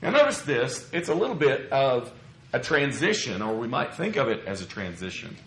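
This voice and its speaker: A man preaching an expository sermon in a measured, earnest way, his voice a little scratchy from a cough. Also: mid-range voice, sing-song, fairly bright tone. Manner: measured, earnest, explanatory with rhetorical questions. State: slightly scratchy from a lingering cough. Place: church pulpit, roomy indoor space. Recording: echoey room.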